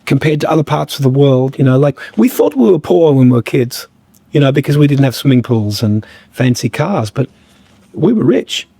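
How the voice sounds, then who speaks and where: Slightly croaky man, halting. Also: medium pitch, very animated, mellow, no room sound. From Australia. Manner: halting, many fillers and restarts. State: a bit croaky. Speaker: guest musician. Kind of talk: reflective podcast conversation.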